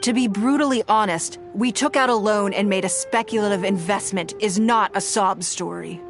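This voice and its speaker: A woman playing a composed, shrewd and stern wealthy noblewoman in a video game, speaking in a measured, formal, authoritative way. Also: medium pitch, lightly acted. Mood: stern, cool.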